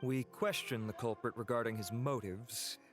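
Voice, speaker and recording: Man playing a formal, mid-pitched officer. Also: normal speed, soft background music, natural voice. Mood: matter-of-fact. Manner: formal, reporting. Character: formal male officer, dry, measured, dutiful. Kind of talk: video game voice acting.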